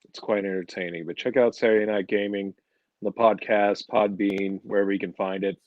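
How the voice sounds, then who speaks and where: A man playing a dry, deadpan comic-book villain scientist as an in-character guest on a livestream. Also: lowish voice, a little fast, no echo, highs cut off, natural voice. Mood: wry. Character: Doctor Hugo Strange, male comic-book villain scientist as a podcast guest. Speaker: guest columnist.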